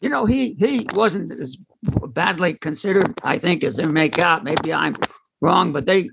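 A man casually telling anecdotes in a group discussion, piecing them together haltingly. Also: a little high. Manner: halting, piecemeal storytelling. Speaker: book club member. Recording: clearly noisy recording with clicks.

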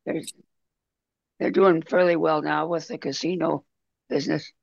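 A man talking casually in a book discussion on a video call, halting and rambling as he goes. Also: a little high, fairly even intonation, gravelly, no room sound. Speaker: book club member. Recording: dull lo-fi video call audio.

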